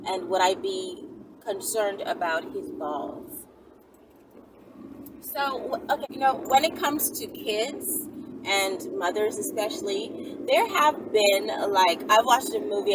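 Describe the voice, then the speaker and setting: A woman's voice: medium pitch, moderate pace. A middle-aged woman chatting casually to camera as she answers viewers, talking in a rambling way with frequent asides. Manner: rambling, conversational, trailing into asides. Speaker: vlogger answering viewers' questions. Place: outdoors or in a vehicle at the roadside. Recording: distant mic, loud vehicle and ambient noise. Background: loud vehicle noise.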